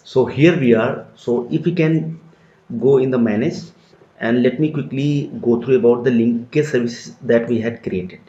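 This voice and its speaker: A man giving a steady step-by-step screen-recorded software tutorial, repeating phrases as he explains. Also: medium pitch, normal speed. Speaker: tutorial instructor. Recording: dull lo-fi roomy sound.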